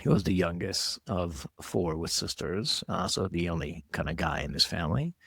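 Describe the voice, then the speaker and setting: A man's voice: deep voice, animated, normal speed, creaky, fairly bright tone, breathy edge, no room sound, clean studio sound. A man, giving reflective, conversational answers as an interview guest. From Israel. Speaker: podcast guest, entrepreneur.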